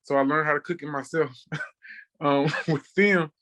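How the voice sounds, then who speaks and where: A man's voice: fairly high pitch, steady tone, unhurried, a bit bright. A man in his thirties from Texas, a chef guesting on a podcast and telling personal stories casually. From Texas, United States. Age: 30s. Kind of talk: casual conversational storytelling.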